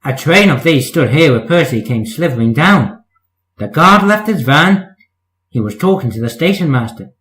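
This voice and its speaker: A man reads a children's story aloud as narrator. His voice sits fairly high for a man, and his intonation rises and falls in a very animated way. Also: rough recording.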